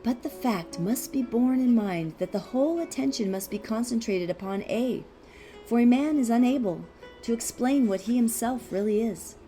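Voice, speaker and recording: A woman reading a philosophical text aloud as scripted narration, in a measured, deliberate way with pauses. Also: fairly high pitch, dark tone, soft background music. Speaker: audiobook reader.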